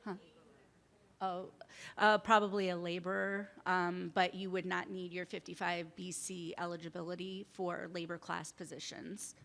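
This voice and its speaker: A woman presenter answering audience questions at a workshop, explaining in halting sentences full of fillers. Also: on the low side, flat delivery, gravelly, fairly bright tone. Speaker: civil service program presenter.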